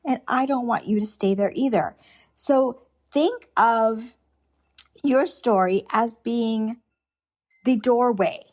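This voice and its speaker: A woman's voice: fairly high pitch, darkish tone. A middle-aged woman hosting a solo podcast, talking earnestly and unscripted, with restarts and rhetorical questions. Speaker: podcast host. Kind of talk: reflective solo podcast monologue.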